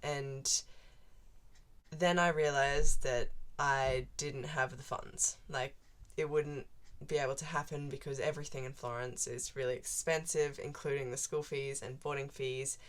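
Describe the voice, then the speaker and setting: A teenage Australian girl talks straight to camera in a chatty, meandering way, stringing thoughts together with lots of "like". Her voice sits low for a young woman. She sounds relaxed and unscripted, as in a first vlog. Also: measured pace. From Central Australia. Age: teens. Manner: chatty and rambling, many "like" fillers. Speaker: vlogger. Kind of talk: casual chat to camera.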